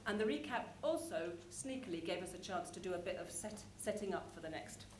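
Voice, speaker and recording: Woman conference presenter, medium pitch, informal delivery, echoey room with faint noise. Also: animated, a little fast. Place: conference hall, live presentation.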